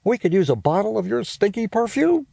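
A high, squealing schoolgirl voice that leaps up and down, exasperated and bossy toward her little brother, as a man puts her on for an audiobook. The tone is mellow and a little husky, recorded close and dry. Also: big pitch swings, fairly quick, dry recording, heavily acted. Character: bossy, teasing big-sister girl.

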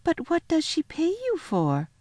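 A woman's voice: very high-pitched, wildly swooping pitch, a little slow, darkish tone, no room sound, pitched above the performer's own voice, heavily acted. A woman voicing an earnest, kind-hearted young girl in a storybook, anxious and caring, with pleading, emphatic questions. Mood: concerned.

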